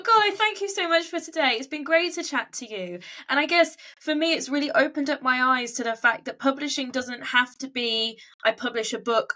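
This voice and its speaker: Bright-voiced woman hosting an interview. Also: on the high side, low-quality recording. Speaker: podcast host. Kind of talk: conversational interviewing.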